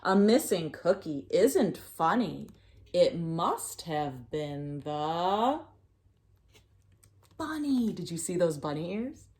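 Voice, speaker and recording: Female read-aloud narrator for young kids: unhurried, highly animated intonation, sing-song picture-book delivery. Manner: animated, sing-song, pausing to prompt listeners.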